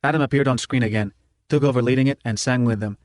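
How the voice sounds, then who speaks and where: A man's voice: medium pitch, fast, darkish tone, dry recording, studio quality. A man narrating a novel in the first person as a scripted audiobook, in clipped phrasing.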